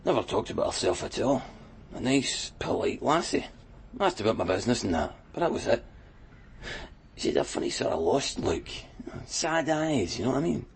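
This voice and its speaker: An actor playing a chatty, wistful Glasgow tattooist in a radio crime drama, rambling colloquially with a Scottish accent. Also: male voice, mid-range voice, sing-song, normal speed, vocal fry, a little hiss or hum. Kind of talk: naturalistic radio drama acting.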